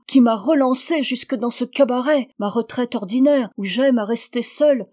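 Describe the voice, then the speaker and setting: A woman's voice: mid-range voice, darkish tone, lightly acted. Storybook role: melancholy tall stranger, male, solitary, plaintive and despairing, acted by a woman narrator. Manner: lamenting, sighing.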